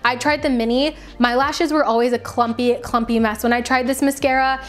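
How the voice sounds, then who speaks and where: A woman beauty vlogger giving a casual, chatty product review to camera, emphatic and conversational, her voice slightly raspy and tired from talking. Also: a little high, fairly even intonation, brisk.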